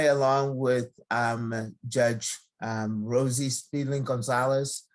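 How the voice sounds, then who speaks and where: A woman from Nigeria, a county judge, speaking slowly and haltingly with many fillers on a video call. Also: extremely low pitch. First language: a Nigerian language. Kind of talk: virtual panel remarks.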